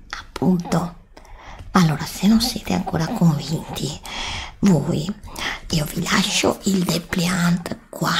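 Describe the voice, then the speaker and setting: Low, creaky, whispering woman. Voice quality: breathy, often whispering. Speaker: ASMR roleplayer playing a travel agent. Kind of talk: ASMR roleplay, soft-spoken and whispered. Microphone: desktop USB microphone, close.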